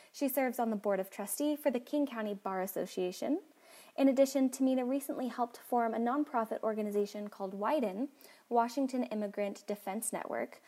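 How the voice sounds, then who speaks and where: A woman podcast host reads a long guest introduction in a clear, measured way, then moves into her questions. Her voice sits on the higher side. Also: dark tone. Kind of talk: read introduction and interview questions.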